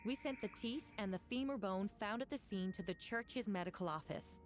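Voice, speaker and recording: A woman's voice: medium pitch, brisk, natural voice. An actress playing a severe, articulate woman prosecutor in a fantasy game, formal and assertive. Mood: businesslike. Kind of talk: video game voice acting.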